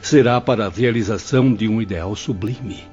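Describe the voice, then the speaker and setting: A low-pitched, solemn male professor played by an actor declaims with earnest fervour, like a repentant spirit in a radio play. The recording is slightly dull, with music playing underneath. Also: moderate pace, darkish tone, lightly acted. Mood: resolute. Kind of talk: scripted audio drama acting.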